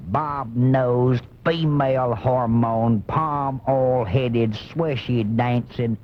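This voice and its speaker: A man playing an indignant blue-collar American right-wing crank, ranting with drawn-out emphasis in a comic radio monologue. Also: fairly low pitch, a little hiss or hum. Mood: scornful, indignant. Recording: muffled off-air cassette, music behind.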